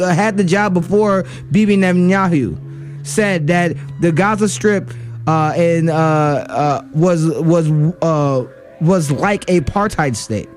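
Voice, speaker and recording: A man with a slightly raised voice rants about politics on a talk radio program. He talks in a heated, argumentative way and keeps restarting his sentences around "uh". Faint music plays underneath. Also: high voice. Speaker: radio show co-host. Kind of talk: political commentary.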